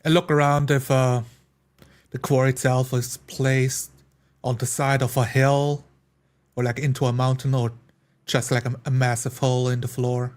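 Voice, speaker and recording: A man's voice: mid-range voice, level tone, normal speed, darkish tone, smooth. A man playing a tabletop roleplay game, casually improvising what his character does and says.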